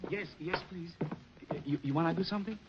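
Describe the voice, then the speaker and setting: An actor playing an earnest, polite young Italian immigrant worker who speaks haltingly in an Italian accent, in 1940s radio acting. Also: male voice, on the high side, a little hiss or hum, lightly acted. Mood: humble. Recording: muffled old radio recording.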